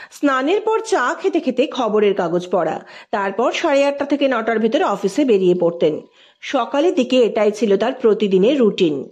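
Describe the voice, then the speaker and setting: Higher-voiced woman narrating a story. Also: a little mellow. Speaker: audio story narrator and channel host. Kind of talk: dramatic scripted story narration.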